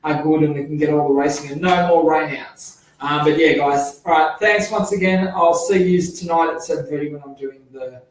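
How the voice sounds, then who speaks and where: Man, hoarse, fairly high voice, rambling speedway host, fillers and self-corrections, very echoey room. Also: fairly even intonation, moderate pace, few pauses. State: losing his voice. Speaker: publisher and editor hosting a speedway show. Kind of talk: casual solo speedway preview. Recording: very echoey, from far off the mic.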